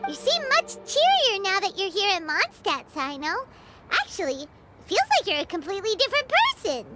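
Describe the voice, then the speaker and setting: Squeaky, excitable little fairy girl. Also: fairly quick, loud music behind the voice. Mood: curious. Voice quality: squeaky, childlike. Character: tiny, excitable, indignant fairy-like girl companion. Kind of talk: anime game voice acting.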